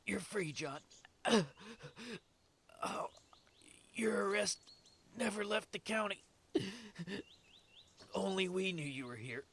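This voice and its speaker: A man playing a dying young prison guard in an audio drama, selfless and broken, halting with a weak, strained rasp. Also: high-pitched, animated, fairly bright tone, slight background noise, heavily acted. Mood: weak, resigned. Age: young adult. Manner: halting, coughing, labored short phrases.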